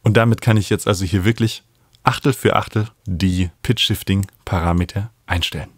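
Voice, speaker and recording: A man, explaining music software as an instructor in a tutorial. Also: sits low, normal speed, no echo, clean studio sound. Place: small home studio, indoors. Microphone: studio microphone up close.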